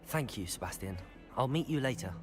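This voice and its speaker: An actor voicing a polite, eager and bold teenage student wizard in a video game, earnest. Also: male voice, medium pitch, expressive, a little fast, faint music behind, close to an everyday voice. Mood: secretive, keen. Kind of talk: scripted video game acting.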